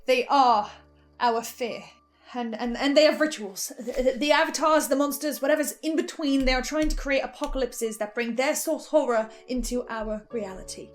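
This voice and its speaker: Woman, higher-pitched, casual commentary, animated, self-interrupting, background music. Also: moderate pace. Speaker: host of a podcast reaction show. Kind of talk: casual reaction commentary and recap.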